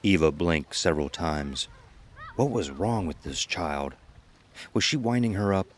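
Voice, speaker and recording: A man's voice: sits low, expressive, measured pace, a little hiss or hum. A man giving scripted narration of a horror story as its narrator. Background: music.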